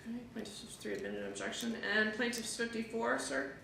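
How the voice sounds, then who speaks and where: A woman's voice: fairly high pitch, fairly even intonation, fast, creaky, sharp tone. A woman judge questioning a witness in formal courtroom language. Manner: formal, addresses the witness as ma'am. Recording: echoey, distant courtroom mic, noisy.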